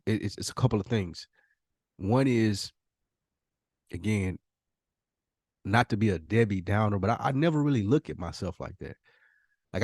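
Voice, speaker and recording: A man's voice: lowish voice, darkish tone, dry recording. Candid, self-effacing man hosting a podcast, dry sound. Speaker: podcast host. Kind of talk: casual, candid podcast conversation.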